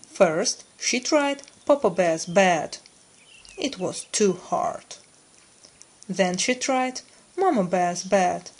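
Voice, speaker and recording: A woman storyteller reads a children's tale at a slow pace, with a medium-pitched voice. Her phrasing is deliberate and easy to follow for language learners. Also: breathy edge. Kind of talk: scripted children's story narration.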